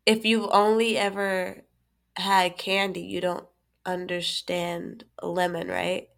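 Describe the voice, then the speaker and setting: Woman podcast co-host, stuffy with a cold, animated casual delivery. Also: lowish voice, takes it slowly, creaky. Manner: animated, laughs often, restarts phrases. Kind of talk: casual chat.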